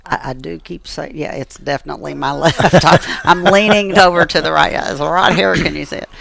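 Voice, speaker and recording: A woman's voice: low-pitched, fairly even intonation, brisk, vocal fry, a crowd in the background. An American woman telling personal stories as an interview guest, earnest and emphatic, repeating phrases for emphasis. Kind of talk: personal storytelling in conversation.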